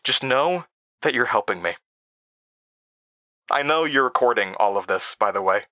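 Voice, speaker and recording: An actor playing an anxious, rattled man who haltingly recounts eerie memories, stammering and interrupting himself, in a horror audio drama. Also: mid-range voice, animated, sharp tone. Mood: nervous, weary. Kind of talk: first-person horror audio drama monologue.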